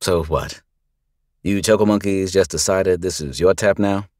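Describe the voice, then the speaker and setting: A man voicing a calm, steely male lawman who speaks dryly and pointedly. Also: deep voice, a little slow, no room sound, studio quality, voice pushed up.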